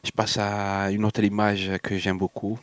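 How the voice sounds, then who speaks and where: A man's voice: on the low side, completely flat delivery, unhurried. A man from Algeria with an Algerian French accent, sick with a cold and fever, talking in a rambling, hesitant way and slipping between French and Arabic in a personal monologue. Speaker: vlogger.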